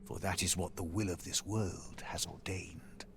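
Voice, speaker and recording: An actor playing a weary, solemn former hero in a fantasy video game, with grave, archaic, measured delivery. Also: male voice, deep voice, fairly even intonation, creaky, a bit bright, whispered, heavily acted. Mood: grave, resigned. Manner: grave, archaic, measured storytelling with sighing line endings. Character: weary, solemn former hero, husk of a fallen chosen one.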